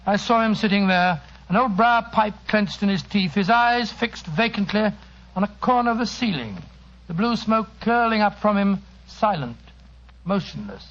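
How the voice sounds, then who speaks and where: An actor playing a courteous British gentleman, a detective's loyal companion and narrator, in an old radio drama. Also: high voice, expressive, moderate pace. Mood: measured, evocative. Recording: muffled old radio broadcast.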